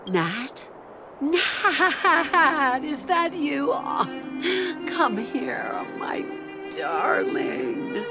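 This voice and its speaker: A woman's voice: sits high, sing-song, drawn-out words, full character voice. A woman voicing a loving, consoling elderly mother in a video game, with a soothing, emotional manner. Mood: moved. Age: older. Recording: music playing behind the voice.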